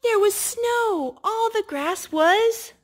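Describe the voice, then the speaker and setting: A woman reading a picture book aloud to a young child, gently asking him questions between pages. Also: very high voice, animated, slow pace. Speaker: storyteller.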